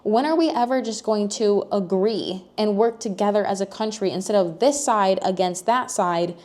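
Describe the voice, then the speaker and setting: A woman's voice: medium pitch, normal speed, a little mellow. Chatty woman vlogger thinking aloud. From United States. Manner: rambling, thinking aloud with many fillers and restarts. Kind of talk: casual personal vlog.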